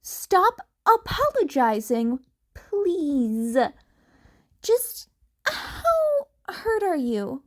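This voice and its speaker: A woman voicing an anxious, caring teenage girl in an audiobook-style story, tense and gently scolding. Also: very high voice, very animated, extremely slow, pitched above the performer's own voice, heavily acted. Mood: worried, scolding.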